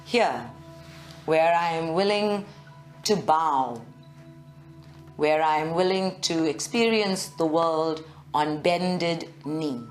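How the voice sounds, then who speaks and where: A woman guiding a live meditation in a measured, soothing way, with long pauses. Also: deep voice, faint music behind. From South Africa. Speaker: meditation guide. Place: group meditation session at a centre, recorded live.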